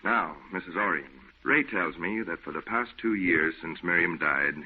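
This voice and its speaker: A man's voice: deep voice, moderate pace, slightly rough. An actor playing a skeptical, blunt, folksy man in an old radio drama, sounding exasperated. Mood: firm, exasperated. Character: skeptical, exasperated, no-nonsense man debunking a ghost. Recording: tinny, phone-like old radio recording.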